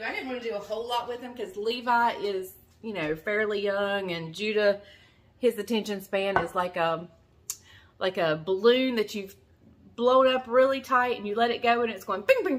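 A woman's voice: medium pitch, fairly bright tone. A woman in her fifties, a grandmother, chatting casually to camera in her home kitchen, rambling with plenty of fillers. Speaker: farm vlogger, grandmother. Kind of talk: casual vlog chat. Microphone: camera close up, then further off at the counter.

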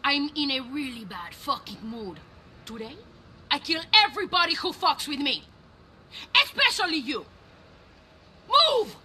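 A woman's voice: sits high, big pitch swings, measured pace, long pauses, pushed and bright, slight background noise, exaggerated voice. Piercing, volatile female robber. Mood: furious, bitter. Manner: profane, theatrical, fiercely threatening. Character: volatile, domineering young female robber, jealous spurned lover. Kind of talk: video game cutscene acting.

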